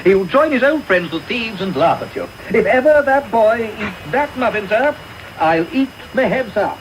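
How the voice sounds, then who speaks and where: An actor playing a suspicious, blustering elderly English gentleman in a radio drama, cantankerous and emphatic, repeating himself. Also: sits high, animated, moderate pace. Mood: scornful, blustering. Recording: faintly noisy radio taping.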